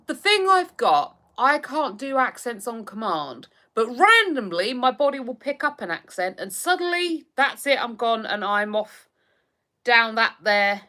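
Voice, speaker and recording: An English woman in her thirties chats casually on her livestream and reacts to viewer comments as they come in. Her voice sits fairly high and bright, and it swings widely in pitch as she talks. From England. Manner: chatty, animated, reacts to comments. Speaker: livestream host.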